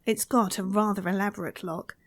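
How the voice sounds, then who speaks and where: A woman's voice: medium pitch, fairly even intonation, fairly quick, creaky. A woman playing an earnest, haunted daughter of a lonely castle in old-time radio suspense style. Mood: anxious, suspenseful. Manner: earnest, dramatic, tense phrasing.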